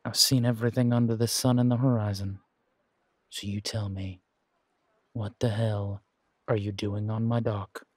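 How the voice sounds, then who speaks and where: Man acting as a pirate captain, low husky voice, wry and threatening, suspicious, drawn-out taunting delivery, audio roleplay. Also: level tone, no echo, lightly acted. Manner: slow, taunting, deliberate drawl with dramatic pauses.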